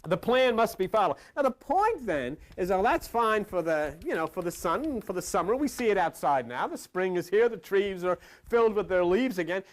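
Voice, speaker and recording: A man's voice: high-pitched, lively intonation, moderate pace, hardly any pauses. A man giving an esoteric sermon-style lecture as a spiritual teacher, emphatic, repeating phrases and asking rhetorical questions.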